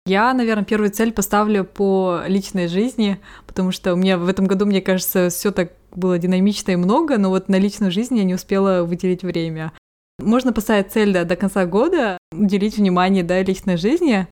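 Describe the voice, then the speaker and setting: A woman's voice: medium pitch, brisk, a little mellow. A woman co-hosting a casual podcast, thinking aloud in a hesitant way with frequent fillers and restarts. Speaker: podcast co-host.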